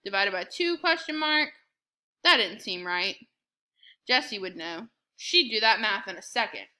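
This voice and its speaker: A woman narrating a children's book aloud in an expressive storytelling style. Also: medium pitch, unhurried.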